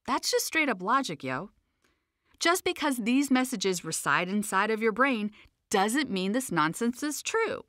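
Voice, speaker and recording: A woman's voice: on the high side, a bit bright, no room sound, clean studio sound. A woman reading her own self-help audiobook in a conversational, emphatic and playfully informal way.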